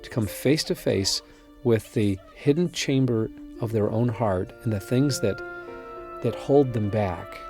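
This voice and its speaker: Lowish-voiced man over music. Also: unhurried. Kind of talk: promotional interview talk about a drama.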